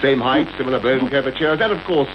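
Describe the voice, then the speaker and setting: An actor playing a smug, urbane English detective gentleman, teasing, in a radio play. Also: medium pitch, fairly even intonation, fast, lightly acted. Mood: smug, amused. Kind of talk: 1980s radio drama acting. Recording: muffled, hissy old radio recording.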